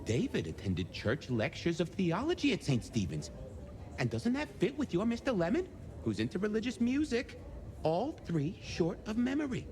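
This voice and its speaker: Weird eager archivist from a video game, highish voice all over the place, teasing and theatrical. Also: male voice, wildly swooping pitch, moderate pace, a little mellow, a little hiss or hum. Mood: excited, conspiratorial. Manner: theatrical, suggestive, playful. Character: eccentric, eager male archivist informant who revels in records.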